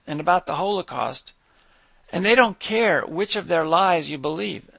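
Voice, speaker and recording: A man with a slightly high voice reads his own scripted monologue. His delivery stays measured and even. The recording is close and dry, with no room sound. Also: creaky, dry recording. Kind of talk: scripted monologue read aloud.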